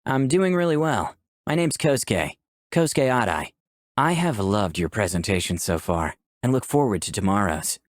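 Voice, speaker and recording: A man's voice: lowish voice, sing-song, moderate pace, dry recording, clean studio sound. A man playing an eager young tech fan who earnestly narrates his own story in a visual novel. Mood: eager, polite. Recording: music behind the voice.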